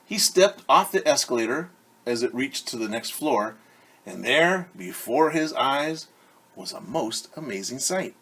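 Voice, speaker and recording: A man teacher reading a picture book aloud to children, animated and stopping to explain words and ask questions. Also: mid-range voice, a little slow, breathy edge. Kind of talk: children's story read-aloud.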